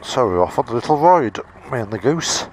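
English male biker vlogger, deep voice, relaxed explainer, helmet mic with light background hiss. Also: a little fast. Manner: chatty, explains as he goes. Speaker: motorcycle vlogger reviewing a camera. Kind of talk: casual product review vlog. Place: home and garden, then outdoors by his motorbike. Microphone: helmet microphone of action camera.

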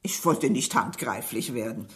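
A woman's voice: rumbling low, expressive, normal speed. A distressed, defensive middle-aged mother. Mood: upset, confiding. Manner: defensive, agitated answers. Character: distressed, defensive mother of a murdered grown daughter. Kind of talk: radio drama acting.

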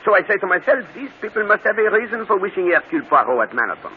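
An actor playing a shrewd, courteous, self-assured detective with a French accent, precise and theatrical, in an old radio mystery. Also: male voice, sits high, very animated, quick pace. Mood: triumphant, mocking. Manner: theatrical, precise, mock-polite. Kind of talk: 1940s radio mystery acting. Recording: tinny, hissy old radio broadcast.

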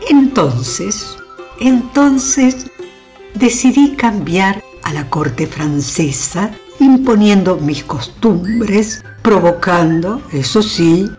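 A woman's voice: on the low side, darkish tone. An actress playing a proud, domineering older queen in a historical radio drama, with a theatrical, scornful delivery. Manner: theatrical, emphatic repetitions, haughty. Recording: music under the voice.

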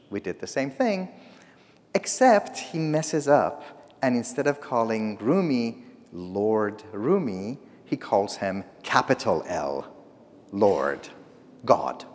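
A middle-aged man whose first language is not English, preaching from the pulpit with humor and stories. He talks slowly, his pitch rising and falling a great deal. His voice carries a long reverb through the church sanctuary. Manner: storytelling, humorous, expressive. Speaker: guest preacher. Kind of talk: sermon.

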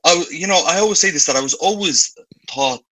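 A young man in his twenties with a Dublin Irish accent, speaking earnestly and reflectively over a video call. His voice is bright and forward, with a dry, close sound and no room echo. He often restarts his sentences as he works through his thoughts. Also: on the high side, brisk. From Ireland. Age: 20s. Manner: earnest, with frequent restarts and "you know". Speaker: guest. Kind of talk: reflective conversational interview. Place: indoor room with light walls. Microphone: video call webcam.

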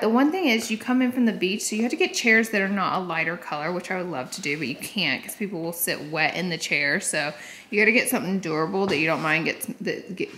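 A woman's voice: mid-range voice, a bit bright. A woman in her thirties, sick with a cold, chatting playfully and gushing in a casual house tour vlog on a handheld camera. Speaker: vlogger. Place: inside and on the porch of a house. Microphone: handheld camera at arm's length.

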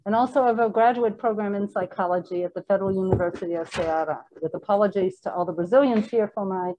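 A woman with a Spanish-French accent opens a hybrid lecture, speaking slowly and formally. Her voice is warm and mellow, and it sits at an ordinary middle pitch. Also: poor audio. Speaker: center co-director introducing a guest speaker. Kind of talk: formal welcome introduction. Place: lecture room at a hybrid event.

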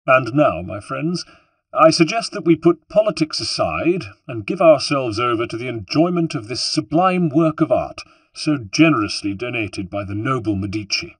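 A self-satisfied, pompous official, put on by a male narrator speaks in a raised, projecting voice. The tone is husky and dry, and the delivery is smooth and ingratiating. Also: mid-range voice, animated, normal speed, fairly bright tone, no echo, pitched above the performer's own voice.

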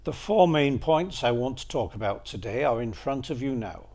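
A man's voice: medium pitch, lively intonation, moderate pace. An older man giving an illustrated lecture, with dry, wry asides. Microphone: seen on camera briefly, then voice-over.

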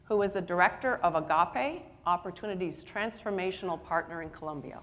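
A woman's voice: lowish voice, fairly quick, big-room sound, heavy background noise. A middle-aged Canadian woman moderating a conference panel on stage with lapel mic, enthusiastic and upbeat. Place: indoor conference stage with audience.

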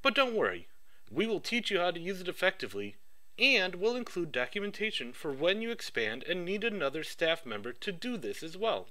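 A man giving a rehearsed project pitch with an upbeat sales-pitch delivery. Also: sing-song, a little fast, bright, forceful tone.

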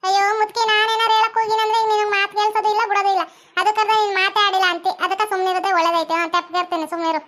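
A woman's voice: very high voice, level tone, racing, a bit bright. A woman voicing a bossy, impatient female farm owner in a village cartoon, repeating orders insistently in a North Karnataka accent. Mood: exasperated.

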